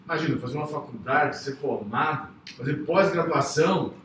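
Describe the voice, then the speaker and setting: A man, a psychologist guest, telling his own story in an earnest, halting way with many restarts. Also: on the high side, noisy background. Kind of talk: personal testimony in casual talk. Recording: echoey room, voice from far off.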